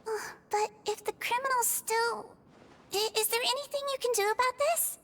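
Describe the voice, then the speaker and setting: A sweet little nurse creature. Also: female voice, steady tone, normal speed, slight background noise. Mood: caring. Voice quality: sweet, very high. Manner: gentle, solicitous, gives health advice. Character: small caring nurse creature, earnest and fussy about health. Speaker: head nurse. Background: game music.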